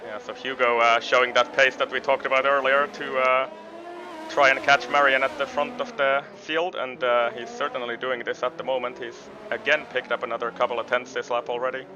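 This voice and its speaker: A man with a Finnish accent talks through an online race in a loose, chatty way, often stopping on a filler before going on. His voice sits at an ordinary pitch. The recording is a little dull, with steady noise behind him. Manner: relaxed, chatty, halting with fillers. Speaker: co-commentator. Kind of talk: sim racing commentary. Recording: slightly dull sound with clear background noise.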